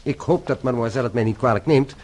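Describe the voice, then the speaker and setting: An actor playing a calm, authoritative French police inspector in a radio play, formal and courteous, using French phrases. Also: male voice, medium pitch, fast talker, close to an everyday voice. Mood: explaining. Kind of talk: radio play acting in Dutch.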